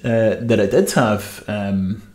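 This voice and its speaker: A man's voice: on the low side, slow pace, darkish tone, roomy sound. A young man with a Scottish accent from the west coast of Scotland, telling his story as a podcast guest, with frequent restarts. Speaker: guest swimmer. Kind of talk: podcast interview. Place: small room with podcast setup. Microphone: desk mic on stand.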